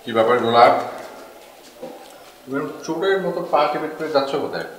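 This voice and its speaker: A man's voice: medium pitch, lively intonation, a little fast, natural voice. A man playing a kindly, reassuring member of a household in a TV drama. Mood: friendly. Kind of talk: Bangla TV drama acting. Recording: echoey room with faint noise.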